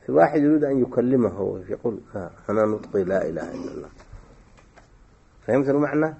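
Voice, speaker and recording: A man's voice: medium pitch, animated, fast talker, gravelly, mellow, breathy edge, muffled recording. A man teaching a classical Arabic grammar lesson, explaining points and quizzing his students with short questions.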